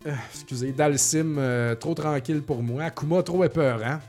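A man's voice: a little high, moderate pace, a little mellow, faint music behind. A man in his forties with a Québécois French accent, hosting a casual podcast in a talkative, informal, slangy way. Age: 40s. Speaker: podcast host. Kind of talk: casual chat, reading listener questions.

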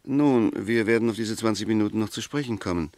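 An actor playing a methodical, argumentative defence advocate in a 1960s radio drama, deliberate and emphatic. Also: male voice, on the low side, a little mellow, no room sound, studio quality, close to an everyday voice. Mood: insistent, reasoning. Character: argumentative, methodical male defence advocate dissecting a murder case.

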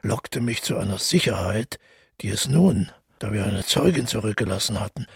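Gravelly, detached killer. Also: male voice, lowish voice, expressive. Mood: haunted. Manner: measured, reflective, detached narration. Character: detached male killer calmly recounting his deed. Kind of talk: literary radio drama monologue. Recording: music playing under the voice.

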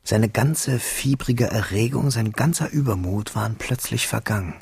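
An actor narrating a literary radio drama as a reflective, wistful man looking back on his schooldays, measured and solemn. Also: lowish voice, creaky, a little mellow, slightly rough, dry recording, heavily acted. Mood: tense, wistful.

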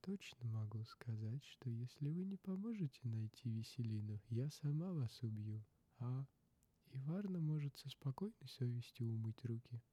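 A man's voice: medium pitch, expressive, moderate pace, mellow, close to an everyday voice. A man voicing a stern, threatening woman in a narrated story. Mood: cold threat.

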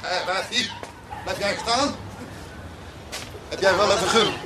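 An actor playing an aggressive, menacing street drinker in a radio drama, coarse and bullying in manner. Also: male voice, high voice, unhurried, very noisy. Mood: challenging.

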